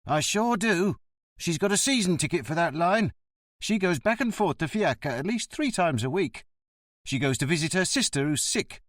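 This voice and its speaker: A man's voice: sits high, lively intonation, moderate pace, a bit bright, no room sound, clean studio sound, voice pushed up. A man putting on a surly, thin bus driver around fifty. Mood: matter-of-fact. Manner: blunt, aggrieved, sticks to the rules.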